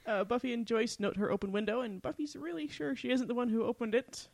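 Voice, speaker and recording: A woman's voice: on the high side, quick pace, gravelly, a little mellow. A woman co-hosting a podcast, giving a casual, playful recap and commentary with a giggly manner. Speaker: podcast co-host.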